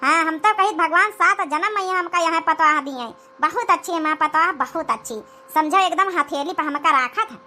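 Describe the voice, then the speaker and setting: Woman doing scheming awadhi saas for a comedy cartoon, squeaky high bright voice, wheedling village chatter. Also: normal speed, hardly any pauses, slight background noise, heavily acted. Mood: gossiping. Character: scheming, flattering older village mother-in-law in a comedy cartoon.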